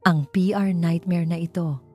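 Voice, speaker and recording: A woman's voice: lowish voice, steady tone, darkish tone, faint music behind. Woman voicing a firm, commanding Filipino male executive. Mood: firm, calm. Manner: measured, formal, emphatic public-speech delivery. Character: confident, commanding male airline CEO, calm and firm.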